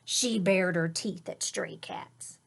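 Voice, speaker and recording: A woman's voice: lowish voice, slow pace. A woman teacher reading a picture book aloud to children, explaining words and pointing out the pictures, sometimes dropping to a whisper.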